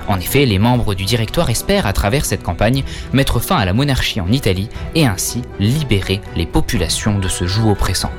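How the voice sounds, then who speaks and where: A man narrating a scripted art-history lesson, speaking directly to viewers with questions and wry asides. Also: fairly low pitch. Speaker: educational video narrator.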